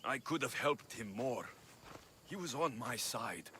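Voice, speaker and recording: Game hero, Greek mercenary: medium pitch, bright edge, terse, earnest, guarded. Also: male voice, lively intonation, measured pace, slight background noise. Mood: grieving. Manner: plain-spoken, terse. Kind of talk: dramatic video game cutscene acting.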